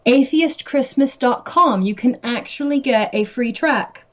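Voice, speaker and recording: A woman's voice: sits high, measured pace. Chatty woman guest laughing a lot, kinda muffled lo-fi sound. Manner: chatty, laughs often, many restarts. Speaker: guest, musician and actress. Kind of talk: casual interview chat.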